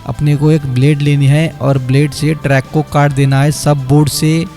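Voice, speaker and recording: Man, higher voice, technician talking viewers through a repair, music under. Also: level tone, moderate pace. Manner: explanatory, step by step, addresses viewers as friends. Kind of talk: voice-over repair tutorial. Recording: quiet background music under voice-over. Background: light background music.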